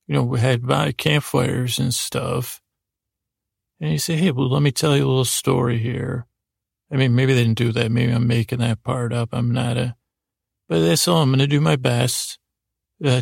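A man with a gravelly voice hosting a sleep podcast, giving a soothing, rambling bedtime monologue that halts, repeats and wanders off mid-thought. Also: medium pitch, no echo, clean studio sound. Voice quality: gravelly, creaky. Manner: halting, meandering, repeats and trails off mid-thought.

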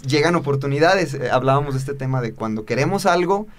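A man's voice: medium pitch, fast talker. A man hosting a casual interview, thinking aloud and repeating words as he gathers his thoughts. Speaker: interview host.

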